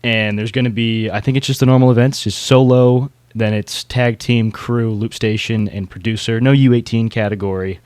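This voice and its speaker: A man's voice: mid-range voice, steady tone, normal speed, few pauses, a little mellow, clear, resonant tone, dry recording. A man hosting a podcast in a casual, chatty style. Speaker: podcast host.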